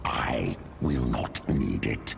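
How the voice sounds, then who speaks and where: Very deep, gravelly alien predator. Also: male voice, over loud music, heavily acted. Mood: cold confidence. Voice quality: gravelly, guttural. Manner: terse, deliberate declarations. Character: ancient alien primal pack leader, predatory, cold. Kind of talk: video game voice acting.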